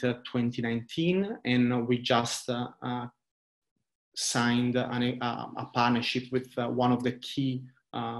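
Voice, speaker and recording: An Italian man in his thirties presents his company to investors on a laptop video call. He speaks in a steady, flat tone that rarely rises or falls. His delivery is earnest, with frequent hesitation fillers. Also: mid-range voice. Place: small indoor room. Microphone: laptop webcam video call.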